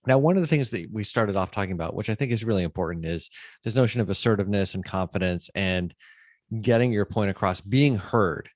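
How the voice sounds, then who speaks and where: A man's voice: on the low side, brisk, no room sound, studio quality. A man hosting a conversational podcast interview, explaining his thoughts in a reflective way. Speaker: podcast host.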